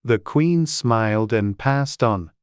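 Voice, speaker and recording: A man narrates a storybook in a very clear, smooth voice of middle pitch. The recording is dry, with a scripted audiobook delivery. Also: animated, takes it slowly, no echo.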